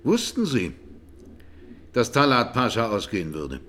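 A man's voice: mid-range voice, wildly swooping pitch, a little slow, a bit bright, a little hiss or hum. A man playing a formal, precise presiding judge who probes the accused in a courtroom radio drama. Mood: probing, precise.

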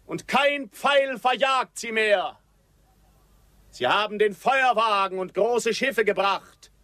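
A fiery Apache orator in a radio drama. The voice is very high and bright, proclaiming slowly and solemnly in theatrical, declamatory phrases to rally warriors. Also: male voice, no echo, heavily acted.

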